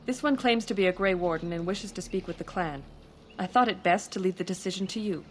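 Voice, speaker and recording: An actress playing a wary, stern elven forest sentry in a fantasy video game, speaking curtly and formally. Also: female voice, medium pitch, brisk, a little hiss or hum, natural voice. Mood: respectful. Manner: curt, guarded, formal.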